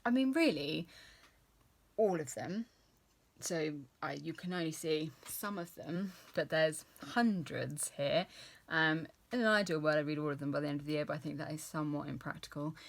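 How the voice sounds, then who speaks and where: Low-voiced woman chatting about books. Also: normal speed, gravelly. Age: 30s. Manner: rambling, lots of fillers and restarts, laughs at herself. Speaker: book vlogger. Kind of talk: casual chatty book talk.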